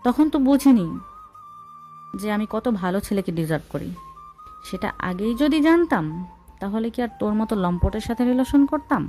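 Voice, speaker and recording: A woman's voice: a little high, expressive, normal speed, pauses often, a little mellow, soft background music, natural voice. A woman playing a cruel, haughty young rich girlfriend, scornful and dismissive, in an audio love-story drama. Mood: cold contempt.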